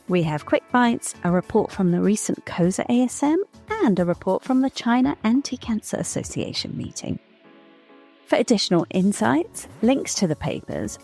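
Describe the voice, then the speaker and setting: Low-voiced woman reading a podcast intro. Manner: even, read-out delivery. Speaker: podcast producer. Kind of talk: scripted intro narration.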